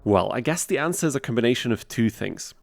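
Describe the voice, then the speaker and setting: A man's voice: medium pitch, lively intonation, fast, creaky, dry recording. A man narrating gameplay from a script as a video game YouTuber, in a wry, sarcastic manner. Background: music.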